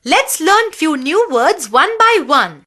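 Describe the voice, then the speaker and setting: A woman's voice: high voice, big pitch swings, takes it slowly, pushed and bright. A woman presenting scripted lessons and word meanings to children. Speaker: children's moral and vocabulary presenter.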